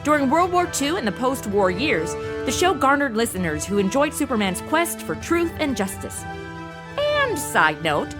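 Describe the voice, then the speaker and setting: A woman acting a modern young American woman with a sardonic, slangy manner. Her voice sits fairly high and moves around a lot, in the style of comic audio drama. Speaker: show host.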